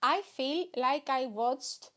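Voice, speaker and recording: A woman's voice: high-pitched, takes it slowly, a bit bright. A young woman from Greece with a Greek accent, hosting a podcast in a rambling, self-mocking comic monologue. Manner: rambling, self-mocking, jumps into silly voices. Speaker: podcast host.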